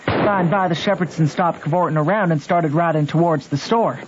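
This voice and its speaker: A man's voice: fairly high pitch, fairly quick, background noise, close to an everyday voice. A man playing a rustic American boy with a Southern accent, telling his adventures in plain country dialect for a radio drama. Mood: tense recounting. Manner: folksy, plain-spoken storytelling in country dialect. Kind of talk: old-time radio drama narration. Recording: dull old radio recording with music.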